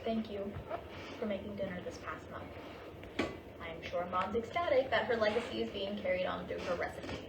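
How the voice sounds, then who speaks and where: Echoey, distant actress as an anxious, sharp-tongued wife. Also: female voice, medium pitch, moderate pace, natural voice. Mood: tender, grieving. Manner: clipped, argumentative, pointed. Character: anxious, sharp-tongued wife and mother, grieving her own mother. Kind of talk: staged play reading, naturalistic dramatic dialogue. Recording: distant, echoey room with clear background noise.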